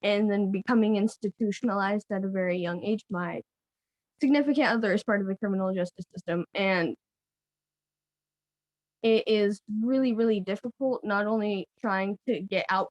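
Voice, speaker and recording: A woman's voice: mid-range voice, normal speed, no echo, poor audio. A young woman speaking on a panel about unheard youth in a heartfelt way, with repeated phrasing. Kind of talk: panel discussion.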